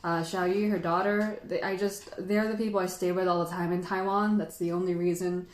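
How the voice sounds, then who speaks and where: A woman's voice: on the low side, flat delivery, brisk, echoey room, a little hiss or hum. A woman hosting a casual livestream, chatting in a sentimental, giggly, informal way. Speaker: livestream host and tea teacher.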